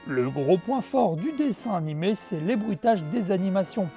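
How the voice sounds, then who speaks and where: Female presenter, mid pitch, soft-edged tone, conversational scripted review with light music underneath. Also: expressive, moderate pace. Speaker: host of a retro video game show. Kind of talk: scripted review narration with casual asides.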